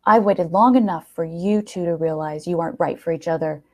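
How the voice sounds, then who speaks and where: Woman reading as a sardonic jealous man, gravelly. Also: on the low side, a little fast. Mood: bitter, menacing. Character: jealous young man, guarded, sardonic false friend. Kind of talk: storybook character dialogue.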